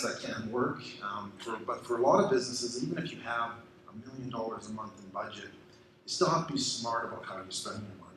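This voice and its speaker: A Canadian man giving a conference presentation, talking energetically with rhetorical questions and plenty of fillers. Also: medium pitch. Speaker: conference speaker. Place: conference room. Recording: very echoey room with faint noise.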